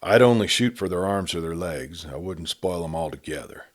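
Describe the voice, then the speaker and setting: A man voicing a soft-spoken, half-wild young western drifter in an audiobook, with a gentle, unemotional rustic drawl. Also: deep voice, lively intonation, moderate pace. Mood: gentle, earnest.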